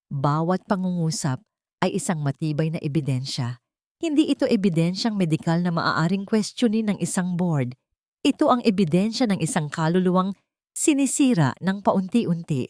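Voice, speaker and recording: A woman's voice: fairly low pitch, expressive, normal speed, a little mellow, dry recording, clean studio sound. A woman with a Filipino accent reading a scripted dramatic story as its narrator.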